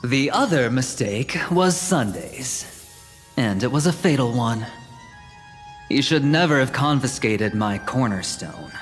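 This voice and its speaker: In a long, ringing reverb over clear music, a smug young gambler from a video game. He is theatrical and taunting, swinging widely in pitch as he slowly lays out each line like a showman. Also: fairly bright tone. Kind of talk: dramatic video game voice acting. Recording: reverberant hall effect, music underneath. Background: background music.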